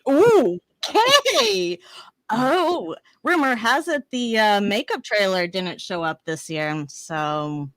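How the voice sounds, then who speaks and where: Bubbly female podcast co-host, higher voice, very animated, dry sound. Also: slow pace, no echo, rough recording. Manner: bubbly, giggly, says "like" often. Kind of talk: casual live chat.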